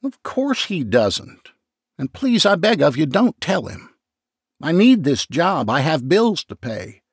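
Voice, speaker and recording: A man's voice: on the high side, sing-song, normal speed, slightly rough, no echo, clean studio sound, pitched above the performer's own voice, heavily acted. A man voicing a nervous, tearful man who pleads and nearly sobs as he fears for his job. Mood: tearful pleading. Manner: pleading, whiny, on the verge of sobbing.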